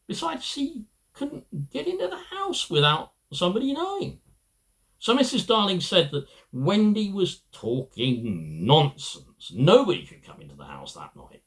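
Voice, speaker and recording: A middle-aged man reading a children's story aloud, halting and emphatic, with small stumbles. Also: fairly high pitch, creaky. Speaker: amateur storybook reader.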